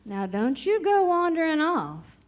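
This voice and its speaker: A woman's voice: sits high, very animated, a little slow. A woman storyteller narrating a folksy horror tale live on stage, with dramatic phrasing and rustic dialect. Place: live stage in a recreation center.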